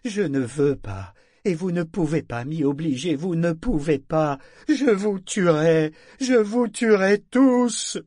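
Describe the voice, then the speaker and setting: A man voicing a tormented male ship figurehead who pleads and cries out in a high, strained voice. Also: slow, dry recording, clean studio sound. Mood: desperate. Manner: pleading, shrill, yelling.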